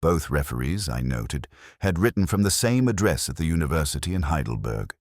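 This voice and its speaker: Man with a very low voice and animated pitch, for calm, deliberate first-person detective narration. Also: no room sound, clean studio sound. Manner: measured, reflective, deliberate. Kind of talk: scripted literary narration.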